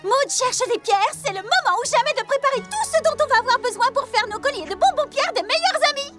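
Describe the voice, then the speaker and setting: A woman's voice: very high voice, expressive, brisk, music in the background. A woman voicing a bubbly, eager young female pony in a French cartoon dub, in an exuberant, gushing manner. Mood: exuberant, excited.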